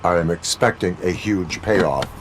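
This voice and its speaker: Deep, deadpan cyborg cop. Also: male voice, a little slow, slight background noise. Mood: stoic, formal. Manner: formal, clipped, deadpan robotic delivery. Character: stoic male cyborg police officer, dutiful and terse.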